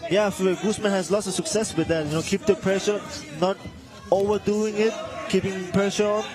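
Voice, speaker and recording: A man's voice: high-pitched, fairly even intonation, moderate pace. A man whose first language is Armenian, a boxer, giving short, dry-humored remarks on a live fight. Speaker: guest boxing analyst, boxer. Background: music and crowd noise.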